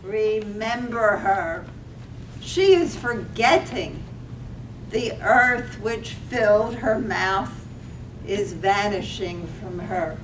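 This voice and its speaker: Actress as plaintive dead sweetheart, radio-play reading, drawn-out phrasing, picked up distantly with faint noise. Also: female voice, animated. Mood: mournful. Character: dead sweetheart, tender, wistful ghost of a woman. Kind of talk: staged reading of a poetic radio drama. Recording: roomy, distant mic, faint rumbling noise.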